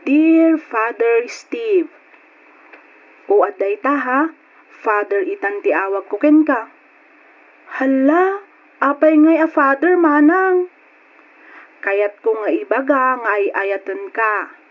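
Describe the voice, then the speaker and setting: A woman's voice: sits high, lively intonation, slow, long pauses, phone-quality sound. An actress playing a girlish younger sister figure in an old-style radio drama. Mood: surprised. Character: younger sisterly girl reading a love letter aloud.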